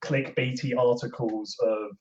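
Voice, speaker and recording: A man talks casually as a guest on a podcast. His delivery is halting and rambling, at a medium pitch. The audio is dull and lo-fi. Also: fairly even intonation, darkish tone.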